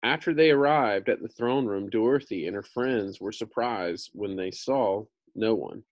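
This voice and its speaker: A man's voice: lowish voice, unhurried, no echo. An American man in his thirties reading a book aloud in an informal, slangy way with casual asides. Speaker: read-aloud storyteller.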